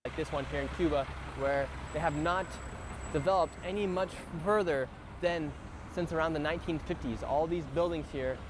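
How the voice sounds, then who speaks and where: A man's voice: a little high, moderate pace. A man presenting on location outdoors, giving unscripted travel vlog commentary on what is around him. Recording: noisy outdoor location sound.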